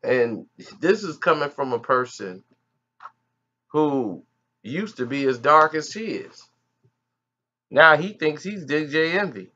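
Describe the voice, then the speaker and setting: A man's voice: on the high side, expressive, takes it slowly, long pauses, pushed and bright, dull audio. A man giving indignant, emphatic commentary on an interview clip. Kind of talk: reaction commentary.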